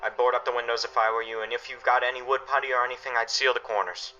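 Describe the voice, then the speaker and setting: A man acting a stern father, sharp and forceful. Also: mid-range voice, flat delivery, fast talker, lo-fi sound, faint music behind, natural voice. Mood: firm, curt. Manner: emphatic, grave speechmaking. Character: stern, anguished father guarding his family's shelter. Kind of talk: dramatic radio play acting.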